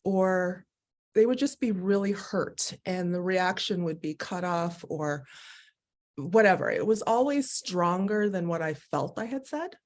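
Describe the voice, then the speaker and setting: A woman with a fairly low voice talks candidly as a guest on a live video call. She is animated and expressive and laughs easily as she tells her own story. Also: unhurried. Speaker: guest, Human Design educator. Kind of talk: candid personal conversation.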